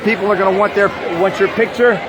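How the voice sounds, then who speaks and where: A man walking through a campus crowd, giving halting live commentary on a protest with many "uh" fillers. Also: sits high, fairly even intonation. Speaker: protest livestreamer. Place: outdoors on a busy university campus. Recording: noisy crowd outdoors. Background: loud student crowd.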